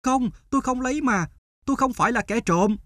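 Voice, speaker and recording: An actor playing an earnest, aggrieved young male student in an animated folk tale, protesting in a plaintive, emphatic way. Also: very high-pitched, brisk, noisy background. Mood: indignant, defensive.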